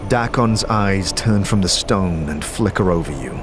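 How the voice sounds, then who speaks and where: A man narrating an audio drama in the second person, measured and solemn, like a dramatic fantasy tale. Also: low-pitched, lively intonation.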